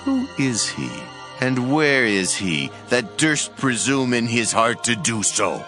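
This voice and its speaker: A man's voice: mid-range voice, big pitch swings, slow, music in the background. A commanding ancient king. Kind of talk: dramatized scripture reading.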